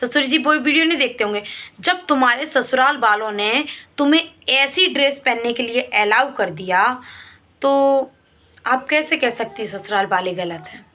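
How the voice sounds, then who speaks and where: Woman vlogger, high-pitched, sharp bright tone, emphatic, reproachful, opinion commentary to viewers. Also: moderate pace. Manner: emphatic, reproachful, repeats points.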